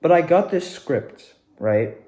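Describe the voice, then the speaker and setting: A man's voice: on the high side, lively intonation, slow, warm tone. Echoey young man telling a rambling story. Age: young adult. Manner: rambling, repeats words for emphasis. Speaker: student actor recounting past performances. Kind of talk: casual storytelling monologue. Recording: echoey, reverberant room.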